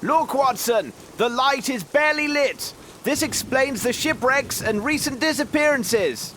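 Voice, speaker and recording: An actor playing an urgent, resolute gentleman detective in a video game, in a raised, declamatory voice. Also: very high-pitched, animated, a little slow, slight background noise, exaggerated voice. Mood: urgent, grim.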